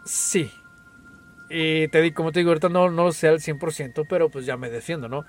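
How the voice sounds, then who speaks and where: Brief: young Mexican man, Mexican accent, higher-pitched, profane street slang, faint music underneath. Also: fairly even intonation, a little fast. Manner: slangy, swears a lot, says "güey" constantly. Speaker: podcast co-host. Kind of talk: casual chat.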